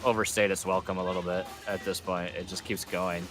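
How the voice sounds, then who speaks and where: A man's voice: sits low, flat delivery, a little fast, a bit bright, faint music behind. A man co-hosting a podcast, chatting in a laid-back way with short reactions while gaming. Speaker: podcast co-host. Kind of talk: casual chat while gaming.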